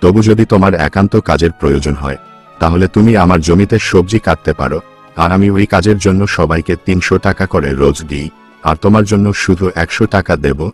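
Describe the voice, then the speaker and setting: Man acting a cartoon village headman, deep voice, curt, condescending, scheming, music underneath. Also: fairly quick. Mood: calculating, curt. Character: stingy, scheming village headman exploiting the needy. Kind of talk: Bengali cartoon story dialogue.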